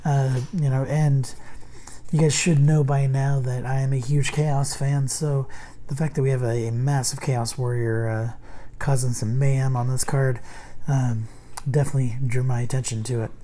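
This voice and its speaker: A man hosts a relaxed card review while his voice is nearly gone, so it sounds hoarse and strained. His tone is dark and mellow. He talks in a halting, meandering way, with frequent ums and restarts. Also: medium pitch, slight background noise. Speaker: host reviewing a card game deck. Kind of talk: casual unboxing and card review.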